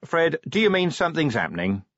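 A man's voice: on the high side, very animated, a little fast, a bit bright, no echo, lo-fi sound, pitched above the performer's own voice. A man voicing a weary, sardonic police commander in an audiobook, blunt, dry and impatient. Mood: weary, stern. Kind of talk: audiobook character acting.

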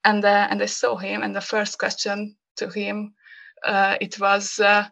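A young Hungarian woman speaking English as a guest, talking casually with many pauses and fillers. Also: medium pitch, completely flat delivery, vocal fry, fairly bright tone. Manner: hesitant, many fillers, laughs often. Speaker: podcast guest. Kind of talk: casual interview conversation.

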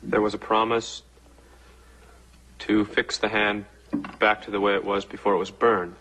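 An actor playing a young law student in a film, answering haltingly and carefully under questioning. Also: male voice, on the low side, flat delivery, bright, forceful tone, poor audio. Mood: hesitant.

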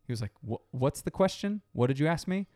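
A man's voice: fairly high pitch, expressive, a little fast, darkish tone. A man in casual podcast chat, telling a long story with lots of fillers and restarts. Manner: rambling storytelling with many fillers.

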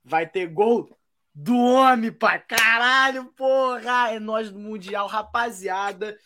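A man's voice: sits high, slow, bright, forceful tone, slight background noise. Playful slangy man, podcast co-host. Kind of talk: casual football chat.

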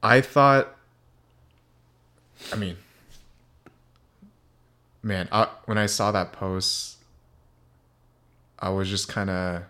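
A man's voice: sits low, very slow, pauses often, sharp tone. A young man hosting a podcast in a laid-back, friendly way, calling his guest "dude" and "man". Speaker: podcast host. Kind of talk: casual chat.

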